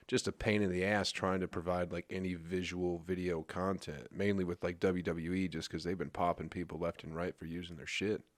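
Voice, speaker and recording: A man's voice: low voice, flat delivery, fairly quick, few pauses, no room sound. A man in his thirties hosting a podcast, talking in a laid-back way and swearing freely. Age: 30s. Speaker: podcast host. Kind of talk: casual podcast chat.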